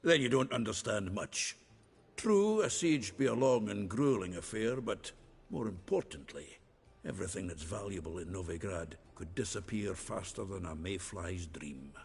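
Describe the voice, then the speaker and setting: An actor playing a gruff, roguish dwarf in a video game, blunt and wry, with a gravelly, husky voice. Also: male voice, deep voice, lively intonation, moderate pace, in a large hall, full character voice. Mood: blunt, explanatory.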